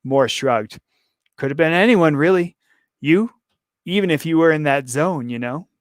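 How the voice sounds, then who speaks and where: A hoarse man reading a calm, gleeful male serial killer in custody, slow, low and matter-of-fact. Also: dry recording, clean studio sound.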